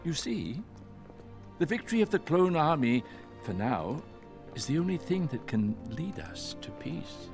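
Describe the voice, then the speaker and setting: Solemn cartoon senate leader, big swooping speechy delivery, faint music behind. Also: male voice, on the high side, big pitch swings, measured pace. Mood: solemn, persuasive. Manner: solemn, declamatory. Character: formal, stately male galactic senate leader. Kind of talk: animated series voice acting, oratory.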